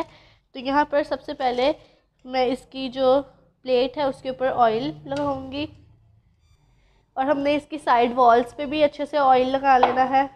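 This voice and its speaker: High-voiced woman teaching a home recipe. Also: dull audio. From Pakistan. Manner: patient, instructive, addresses viewers directly. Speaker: cooking channel host. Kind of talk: step-by-step recipe narration.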